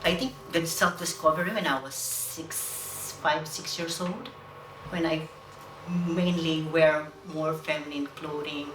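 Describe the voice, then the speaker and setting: A woman speaks low and deliberately, like someone choosing each word. The mic sits some way off in a roomy space. A clear background hum runs underneath. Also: steady tone. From Philippines. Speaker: interviewee. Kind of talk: documentary interview. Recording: roomy, distant mic, noise.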